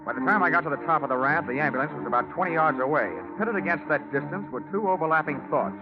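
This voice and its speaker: A man's voice: on the high side, animated, fast, lightly acted. An actor with an American accent playing a tough, sardonic male investigator who narrates in a 1940s radio detective drama. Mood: wry narration. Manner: hard-boiled, sardonic. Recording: dull 1949 radio recording, music behind.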